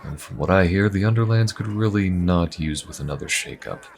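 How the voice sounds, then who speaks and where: A man playing a weary, easygoing inquisitor in a fantasy audio roleplay, chatting in a wry, conversational way with musing pauses. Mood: earnest, casual. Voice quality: very deep, gravelly. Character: weary, easygoing adult male Inquisition agent and adventurer. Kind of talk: fantasy audio roleplay, in-character small talk to the listener.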